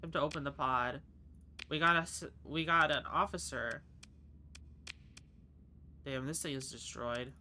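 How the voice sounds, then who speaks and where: A man's voice: medium pitch, measured pace, pauses often, pushed and bright, slight background noise. A man with a stuffy, congested nasal voice, rambling casually as he plays a game on stream and answers chat. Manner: chatty, rambling, thinks aloud and answers chat. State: just woke up. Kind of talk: casual live game commentary.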